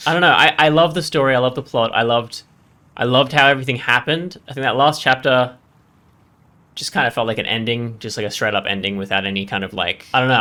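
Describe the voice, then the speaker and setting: Male gaming host, mid-pitched and bright, talking through opinions off the cuff in a loose, rambling way. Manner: rambling, thinking aloud, often seeking agreement with "right?". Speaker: host of a game playthrough channel. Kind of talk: casual off-the-cuff game review commentary.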